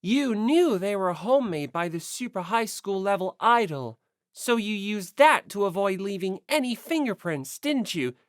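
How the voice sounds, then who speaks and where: A woman's voice: mid-range voice, moderate pace, dry recording, studio quality, natural voice. A woman playing a stern, cool-headed teenage girl detective for an audiobook, speaking tersely with little inflection. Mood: cold, accusing. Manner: flat inflection, clipped, pointed questioning. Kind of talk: audiobook character acting.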